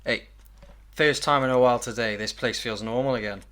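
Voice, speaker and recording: An actor plays a man working in a 1930s studio in an audio drama. He is wry and easygoing, with a medium-pitched voice. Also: steady tone, brisk, a bit bright, lightly acted.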